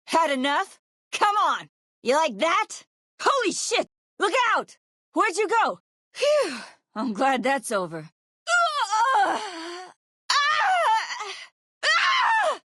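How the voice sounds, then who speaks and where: A woman's voice: extremely high pitch, sharp tone, no echo, studio quality, heavily acted. A woman playing a foul-mouthed, sardonic ex-sheriff turned caravan guard in a video game, blunt and folksy in her speech. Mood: alarmed, shouting. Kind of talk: video game character voice lines.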